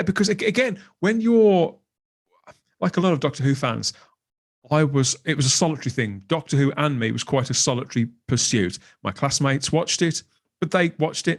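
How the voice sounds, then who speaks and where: A man's voice: on the high side, creaky. A middle-aged man chatting casually as a podcast guest, enthusiastic and rambling as he reminisces. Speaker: podcast guest, graphic designer. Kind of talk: casual chat.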